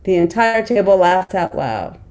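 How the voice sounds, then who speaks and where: A woman's voice: fairly low pitch, big pitch swings, unhurried, a little mellow. A woman reading stage directions as narrator at a screenplay table read.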